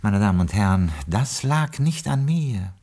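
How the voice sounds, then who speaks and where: A man's voice: lowish voice, animated, unhurried, darkish tone. A man playing a stiff, courteous English butler in a German radio play, speaking in formal, ornate phrases. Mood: pompous, pleading. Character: stiff, courteous English butler telling his tale with pompous flourish.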